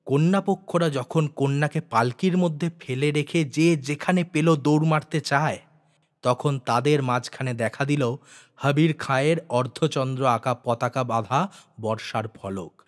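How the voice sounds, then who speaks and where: A man's voice: mid-range voice, a little slow. A man reading a literary story aloud as a dramatic audiobook narrator.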